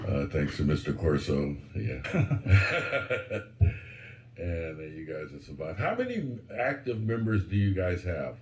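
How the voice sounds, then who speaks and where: Deep-voiced, loud, casual man. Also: very animated, takes it slowly, echoey room, a little hiss or hum. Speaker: commission vice president. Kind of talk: casual remarks at a public meeting.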